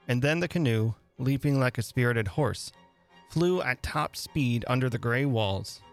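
A man narrating a literary story dramatically, with measured, deliberate phrasing and pauses. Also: mid-range voice, animated, faint music behind. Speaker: reader and host of a dramatic reading radio show.

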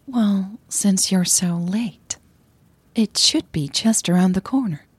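Woman playing a breathy, smitten feisty woman. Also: mid-range voice, slow pace, no room sound, voice pushed up. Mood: teasing.

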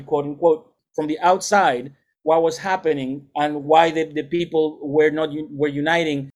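A man's voice: on the high side, darkish tone. Thoughtful man explaining music history. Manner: thoughtful, lecturing, with frequent restarts. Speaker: music educator and salsa band leader. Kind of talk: explanatory interview answers.

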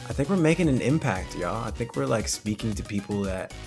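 A man's voice: lowish voice, big pitch swings, fairly quick, creaky. Earnest man with music behind. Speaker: podcast co-host. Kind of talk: casual podcast chat.

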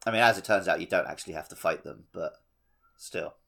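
A man's voice: low voice, quick pace, creaky, fairly bright tone. A man co-hosting a podcast, chatting casually about video games with a wry, jokey manner. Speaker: podcast co-host. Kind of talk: casual video game discussion. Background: background music.

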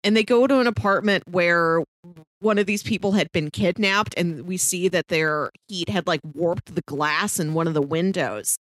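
A woman's voice: on the low side, moderate pace, a bit bright, no echo, rough recording. A woman co-hosting a podcast, casually recapping a story and acting out quotes as she retells it. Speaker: podcast co-host.

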